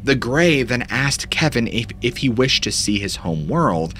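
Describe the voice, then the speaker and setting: A man's voice: mid-range voice, big pitch swings, a little slow, fairly bright tone, slight background noise. A man in his thirties narrating eerie stories as a host, in a dramatic storytelling manner, from a small home studio on a studio mic. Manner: dramatic storytelling with sweeping rises and dips. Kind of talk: scripted narration of paranormal stories. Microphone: studio mic in front of him.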